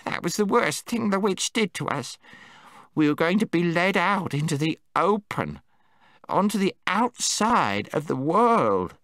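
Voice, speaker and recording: A timid male gnome, fretful and squeaking when scared, as a man reads him aloud. The voice leaps wildly between low mutters and high squeals. It sounds dry and close, with no room around it. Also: sing-song, unhurried, fairly bright tone, dry recording, exaggerated voice. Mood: frightened. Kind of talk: audiobook character voice.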